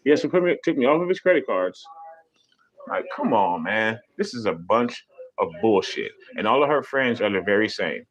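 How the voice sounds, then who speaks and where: A man ranting on a casual live stream, animated and profane, mocking people with sudden high mimicking voices. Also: moderate pace, muffled recording.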